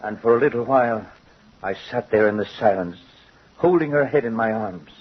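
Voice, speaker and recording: A man's voice: lowish voice, breathy edge. An actor playing a guilt-ridden craftsman confessing to a judge, earnest and dramatic, in old-time radio style. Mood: sorrowful, resigned. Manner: dramatic, earnest, deliberate phrasing. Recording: muffled old radio recording.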